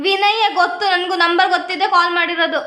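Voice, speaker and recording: A young woman with a Kannada accent chatting casually and teasingly, pressing with rapid, pushy questions. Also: very high-pitched, steady tone, sharp tone. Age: young adult. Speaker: vlogger making prank calls. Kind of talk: casual teasing phone chat. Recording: roomy, echoey indoor sound.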